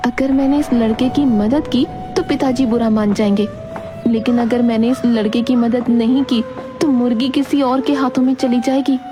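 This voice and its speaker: A woman's voice: a little high, steady tone, moderate pace, close to an everyday voice. A woman voicing a clever, kind young daughter-in-law in a Hindi children's cartoon, speaking persuasively. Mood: helpful. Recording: prominent music bed under voice. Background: music.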